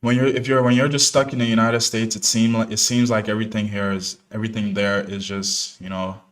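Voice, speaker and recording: A man's voice: on the low side, flat delivery, fairly quick. A young man born in New Jersey, commenting casually on a live trading stream in a laid-back, slangy way with many fillers. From born in New Jersey, parents from Ghana. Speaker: day trader streaming live.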